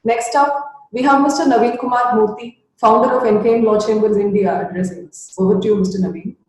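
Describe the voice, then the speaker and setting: A woman moderates a webinar panel, reading polite, formal introductions. Her voice sits a little high. She sounds far from the mic in a very echoey room. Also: steady tone, brisk, darkish tone. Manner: polite, scripted. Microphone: video call.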